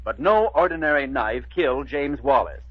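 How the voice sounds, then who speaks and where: An actor playing a shrewd, authoritative police chief with a businesslike manner, in a dull old radio drama recording. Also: male voice, mid-range voice, animated, normal speed, husky, no room sound. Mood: explanatory. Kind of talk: 1940s radio acting.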